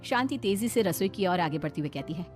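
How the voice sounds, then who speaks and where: A woman's voice: on the low side, expressive, quick pace, a little mellow. A woman reading scripted narration for a cartoon story, as a storyteller. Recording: faint music behind the voice. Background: background music.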